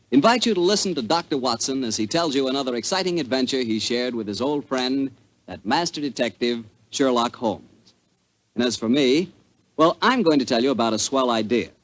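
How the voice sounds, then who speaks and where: American man, vintage radio pitchman, very lively swooping intonation, folksy, emphatic. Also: low-quality recording. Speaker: radio announcer and sponsor's pitchman. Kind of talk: 1940s radio announcing.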